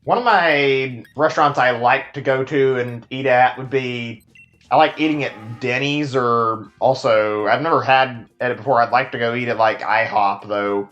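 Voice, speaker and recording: A man's voice: mid-range voice, measured pace, a bit bright, dull audio, faint music behind. Rambling Texan guy streaming a game. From Texas. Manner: rambling, filler-heavy, answers chat as he plays. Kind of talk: casual live-stream chat while playing.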